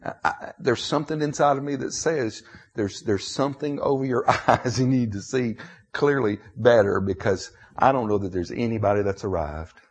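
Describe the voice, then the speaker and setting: An American man in his fifties with a husky voice, preaching an emotional sermon, dramatically swinging between hushed confession and loud cries. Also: mid-range voice, moderate pace. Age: 50s.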